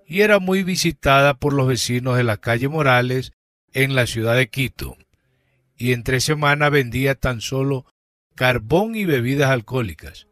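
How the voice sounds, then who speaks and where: A man's voice: medium pitch, fairly bright tone. A man with an Ecuadorian accent narrating a radio drama from a script.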